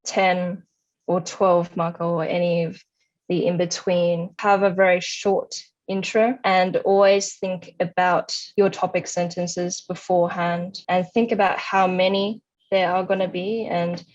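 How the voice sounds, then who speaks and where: Low-voiced young woman, slightly muffled recording. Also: flat delivery, a little slow. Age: young adult. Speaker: interviewee, high-achieving former student. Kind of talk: conversational study advice.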